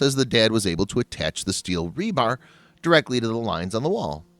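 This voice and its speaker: A man's voice: fairly low pitch, fairly bright tone. A man reading scripted voice-over narration in the manner of a how-to video. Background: background music.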